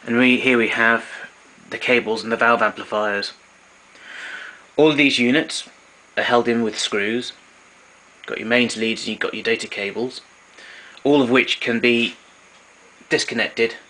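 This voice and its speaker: A man's voice: medium pitch, steady tone, a little slow, sharp tone, poor audio. A man gives an informal technical demonstration in a matter-of-fact, explanatory way. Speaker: vintage tape recorder collector presenting. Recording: clear background noise, room ambience.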